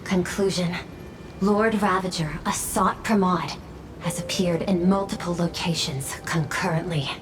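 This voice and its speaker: A woman's voice: lowish voice, unhurried, background noise, close to an everyday voice. A woman voicing a formal, logical robot intelligence in a game, announcing data in clipped, precise phrases. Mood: formal, analytical. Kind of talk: scripted game voice acting. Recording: background music under the voice. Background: music.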